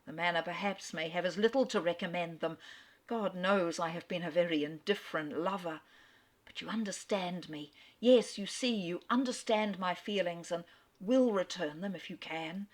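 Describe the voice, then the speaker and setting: Woman reading an earnest lovestruck gentleman. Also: on the low side, natural voice. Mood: tender. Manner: solemn, halting, urgent. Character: earnest gentleman declaring his love.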